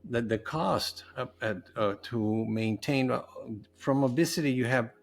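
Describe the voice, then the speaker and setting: A man's voice: mid-range voice, measured pace, creaky, faint music behind. A middle-aged man whose first language is Spanish, talking earnestly and loosely as a guest in a podcast interview. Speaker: podcast guest, nutritionist and health coach. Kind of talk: conversational interview.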